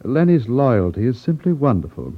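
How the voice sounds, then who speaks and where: Suave, menacing racket boss, old radio. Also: male voice, mid-range voice, big pitch swings. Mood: cool menace. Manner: formal, sardonic, measured phrasing. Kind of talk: 1950s radio drama acting. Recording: muffled 1950s radio recording.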